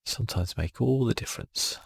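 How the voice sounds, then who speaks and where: A man giving relaxed, casual ASMR game commentary in a soft, breathy, half-whispered voice, musing to himself as he plays. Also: medium pitch, brisk, no room sound. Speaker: gaming channel host.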